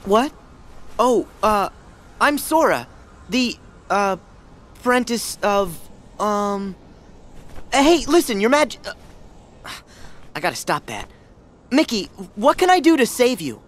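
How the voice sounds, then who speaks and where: An actor voicing an earnest, excitable teenage boy hero in a video game, eager and sometimes hesitant. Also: medium pitch, slow, long pauses. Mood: flustered, earnest.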